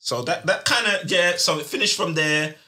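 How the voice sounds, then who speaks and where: A man's voice: a little high, moderate pace, bright, forceful tone. A man from telling his story as a podcast guest in a small studio, rambling with fillers and frequent laughs. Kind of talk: casual storytelling chat. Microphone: studio mic on stand.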